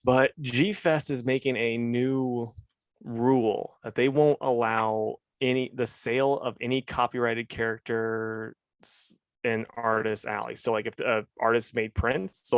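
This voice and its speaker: A man's voice: medium pitch, dry recording. A man co-hosting a podcast, chatting casually in a laid-back, rambling way. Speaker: podcast co-host. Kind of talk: casual chat.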